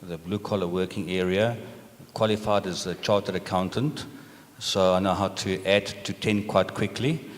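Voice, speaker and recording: An older man with a South African accent, giving orderly, measured answers as a business panelist. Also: low voice, steady tone. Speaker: panelist, property and hotel businessman. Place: large indoor conference stage. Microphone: handheld microphone. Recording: reverberant conference hall sound.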